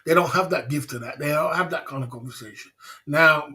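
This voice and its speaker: A man's voice: fairly high pitch, a little fast, pushed and bright. Boastful man, livestream talk. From England. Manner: repetitive, boastful, acts out dialogues. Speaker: livestream host.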